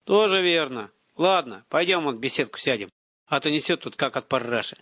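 A man's voice: fairly high pitch, expressive, brisk, bright, forceful tone, no echo. A man voicing a crude but sympathetic older guard in an audiobook, muttering and grumbling. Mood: grumpy.

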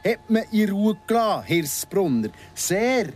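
A man's voice: sits high, very animated, measured pace, a little mellow, soft background music. An actor playing a needling, falsely courteous ex-convict in his thirties in a radio drama, speaking with a Swiss German accent, mock-polite and insistent. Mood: agitated, insistent. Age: 30s.

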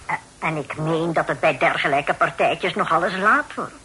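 A woman's voice: low voice, moderate pace, bright, forceful tone. An actress playing a worried, disapproving middle-aged mother in a period radio play, prim and skeptical. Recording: noisy old radio drama recording.

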